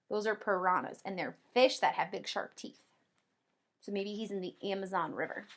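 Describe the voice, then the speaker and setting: A woman kindergarten teacher doing an expressive read-aloud for young children, with drawn-out emphasis and simple explanations. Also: high-pitched. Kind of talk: animated children's read-aloud with comprehension questions.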